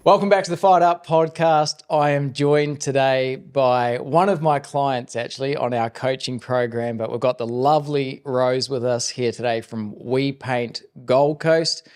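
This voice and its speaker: An upbeat man hosts a business podcast at a mid-range pitch. He sounds encouraging and loose, with frequent ums and rephrased questions. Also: a little slow, hardly any pauses, darkish tone, clean tone. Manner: upbeat, encouraging, filler-heavy. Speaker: podcast host and business coach. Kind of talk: podcast interviewing.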